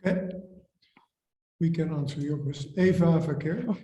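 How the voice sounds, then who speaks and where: A man founding his own brand hosts a webinar, calling on participants one by one in a brisk way. His voice sits a little high with a mellow, dark tone, and it rings in a roomy, echoey space. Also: slight background noise. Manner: brisk, calls on participants by name. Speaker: company founder hosting a webinar. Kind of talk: moderating a video call. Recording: echoey room.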